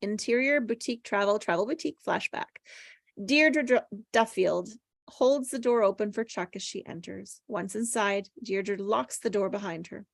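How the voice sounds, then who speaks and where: A woman's voice: mid-range voice, moderate pace, vocal fry, no echo, studio quality. A woman reading stage directions aloud from a screenplay at a table read.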